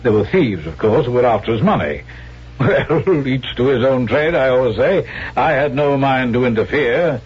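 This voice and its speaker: Sly, animated swindler. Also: male voice, mid-range voice, normal speed, slightly rough. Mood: amused, glib. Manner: glib, chatty, falsely deferential. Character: sly, callous male swindler, genial and opportunistic. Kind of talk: 1970s radio drama acting. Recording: dull vintage radio recording, music behind.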